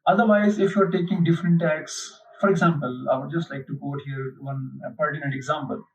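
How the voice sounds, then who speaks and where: A man's voice: a little high, steady tone, slight background noise. Man, lecturer, hesitant explaining, distant mic, muffled recording. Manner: unhurried, hesitant, many fillers.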